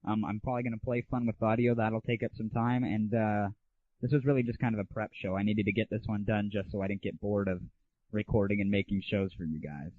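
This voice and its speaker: A man's voice: lowish voice, fairly even intonation, a little fast, no room sound. A man hosting a gaming audio show, giving casual, slangy, opinionated and irreverent reviews. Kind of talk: casual solo rant and game review. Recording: narrow, phone-like 1990s internet audio.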